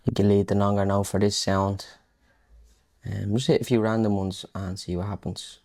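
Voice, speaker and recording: A man's voice: on the low side, level tone, normal speed. A man presenting a casual music production tutorial, thinking aloud in an informal, muttering way as he works. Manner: informal, muttering to himself while working. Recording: electronic house music playing loudly. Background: loud electronic house music.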